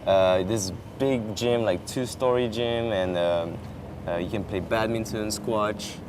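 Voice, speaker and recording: A young man, French by birth, talks easily on a campus lawn in a slightly low voice, stumbling through fillers and restarting his sentences. Traffic hums clearly in the background, picked up by a camera set some distance away. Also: slow. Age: young adult. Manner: chatty, many fillers and restarts. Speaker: exchange student. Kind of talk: casual chat. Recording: outdoor traffic noise behind.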